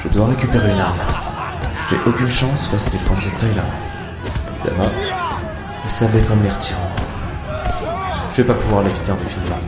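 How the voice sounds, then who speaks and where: A man playing a low, echoing inner voice. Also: fairly even intonation, brisk, long pauses. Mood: urgent, tense. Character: adventurer's inner thoughts, urgent and tense. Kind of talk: audio adventure drama acting. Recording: echoey, loud music and crowd behind.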